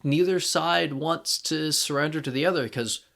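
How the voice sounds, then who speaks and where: Man, fairly high voice, podcast co-host, casual fan discussion, halting, thinks out loud. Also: creaky.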